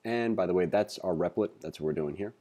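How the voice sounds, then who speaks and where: Low, mellow male tutorial host. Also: brisk. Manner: informal, talks to the viewer with asides. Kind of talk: casual read-along coding tutorial.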